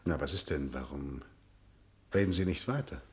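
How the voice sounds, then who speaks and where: Low-voiced, stiffly formal prosecutor. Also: male voice, expressive. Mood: probing. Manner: formal, measured, official. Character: stiff, formal male senior prosecutor, coolly guarded. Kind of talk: radio drama dialogue.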